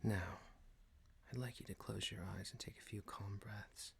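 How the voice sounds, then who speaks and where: A man playing a calm boss who gently leads a guided meditation in a breathy, hushed ASMR whisper, speaking deliberately and soothingly. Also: fairly low pitch, a little hiss or hum. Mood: soothing, instructive.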